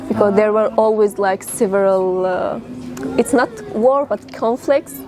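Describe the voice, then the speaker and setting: A young woman from Syria, telling her family's story in an interview, halting and full of fillers. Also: mid-range voice. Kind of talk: personal storytelling.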